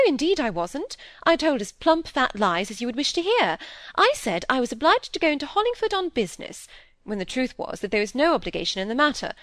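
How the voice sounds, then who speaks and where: A woman plays a young aristocratic lady from a period storybook. She is breezy and imperious, with high, swooping speech that leans hard on her words as she playfully complains. The sound is close and dry. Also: a bit bright, no room sound. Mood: frank, playful. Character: aristocratic young lady, frank, teasing, world-weary, breezy. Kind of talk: audiobook character voice in a period novel.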